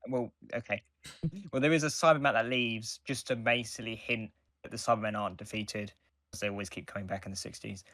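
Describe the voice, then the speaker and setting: A man with a fairly low voice talks casually as a podcast co-host. He gives his reviews haltingly, often circling back mid-sentence. The sound is dry, with no room echo. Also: steady tone, a bit bright.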